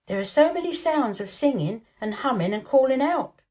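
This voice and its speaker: A woman voicing a frail young storybook boy, excitable, wide-eyed and a little imperious. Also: high-pitched, moderate pace, voice pushed up. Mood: wondering, excited. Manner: gasping, exclaiming, imperious.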